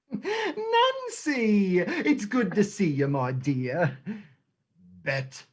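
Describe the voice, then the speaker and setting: Theatrical thieves' gang boss, stage play reading, oily endearments, very lively pitch, unhurried delivery. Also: slightly rough, full character voice. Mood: wary, grudging.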